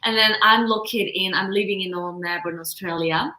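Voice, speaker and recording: A woman in her thirties from South Korea, speaking English with a Korean accent, telling her story as a guest coach with lively, halting phrasing. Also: medium pitch, roomy sound, poor audio. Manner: expressive, laughs often, halting non-native phrasing. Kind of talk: casual interview storytelling.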